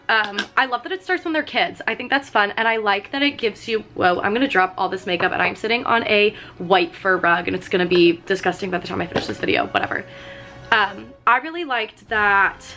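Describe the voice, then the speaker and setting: A woman in her twenties chatting casually while doing her makeup, full of "like" and "um", her voice slightly hoarse from getting sick. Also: medium pitch, bright, forceful tone. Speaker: vlogger. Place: sitting on a fur rug at home.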